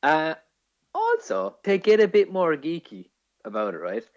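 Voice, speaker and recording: A man's voice: on the high side, wildly swooping pitch, unhurried, vocal fry. An Irish man co-hosting a casual film podcast, talking like an enthusiastic, sweary film geek explaining things. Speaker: podcast co-host. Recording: dull lo-fi podcast audio.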